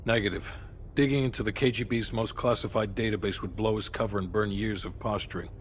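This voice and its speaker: A man acting as a hard-nosed, doubting intelligence official in a game cutscene. His voice sits on the low side, clipped and sarcastic, with faint ambience behind it. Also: fairly even intonation, brisk, a little hiss or hum, natural voice. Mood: stern, sardonic. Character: stern, skeptical, sardonic male intelligence handler. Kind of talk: cinematic game dialogue acting.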